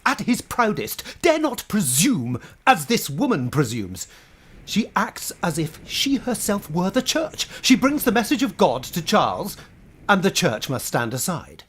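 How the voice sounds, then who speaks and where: Guy playing a zealous french bishop, radio drama, very indignant and preachy, pitch all over the place. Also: slightly rough, exaggerated voice. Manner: forceful, rhetorical, indignant. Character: proud, zealous French bishop of the Middle Ages. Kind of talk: stage-style radio drama acting.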